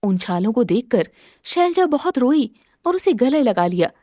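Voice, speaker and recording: A woman narrating a scripted cartoon moral story with expressive, dramatic storytelling. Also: a little high, moderate pace, no echo, studio quality.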